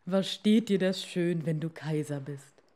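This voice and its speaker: A woman acts out an anxious fisherman husband from a storybook. He is henpecked and pleading, with slow, hesitant speech. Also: on the low side, dark tone, natural voice. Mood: anxious, reluctant.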